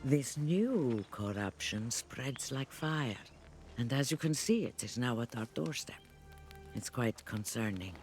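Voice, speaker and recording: An actor playing a grim, blunt, world-weary warrior in a video game, speaking in a solemn, measured manner. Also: male voice, mid-range voice, animated, soft background music, natural voice. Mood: foreboding. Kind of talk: video game cutscene acting.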